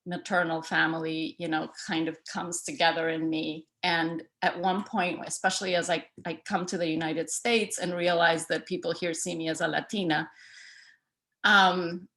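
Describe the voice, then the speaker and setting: Bright-voiced woman presenting over a call. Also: fairly low pitch, level tone, moderate pace. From El Salvador. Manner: conversational, many fillers and restarts. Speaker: artist giving a talk. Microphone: video call.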